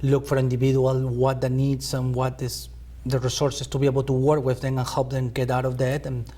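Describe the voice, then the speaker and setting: A man hosting a talk show from a school TV studio, asking questions haltingly and repeating words. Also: mid-range voice, completely flat delivery, dark tone. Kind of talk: interviewing a guest.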